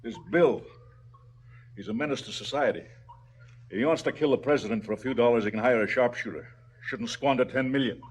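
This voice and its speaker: An actor playing a cynical, brooding crime boss in a stylised radio drama, sardonic and businesslike about killing. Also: male voice, mid-range voice, fairly quick, long pauses, slight background noise, lightly acted. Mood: indignant lecturing.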